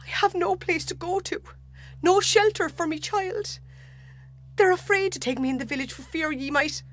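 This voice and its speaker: A woman's voice: very high-pitched, normal speed, voice pushed up. A woman acting a desperate, helpless young Irish mother who pleads tearfully in a dramatic story reading.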